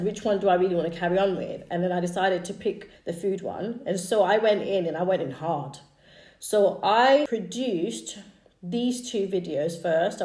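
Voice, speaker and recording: A woman in her thirties from East Africa whose first language is Swahili, chatting casually as a YouTuber walking through her own channel history with fillers and quick asides. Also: on the low side, darkish tone. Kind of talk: casual screen-share walkthrough. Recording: echoey room.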